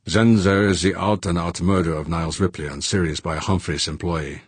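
A man voicing a dogged businessman in an audiobook, formal and deliberate, insistently pressing accusations. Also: low voice, husky, no echo. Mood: accusing.

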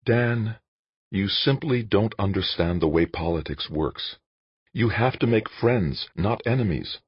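An earnest, patient but firm woman of politics, put on by a male audiobook reader. The voice is lifted and lively, slightly rough, reasoning and pleading in a slightly dull recording. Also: fairly low pitch, moderate pace, no echo, voice pushed up. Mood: exasperated.

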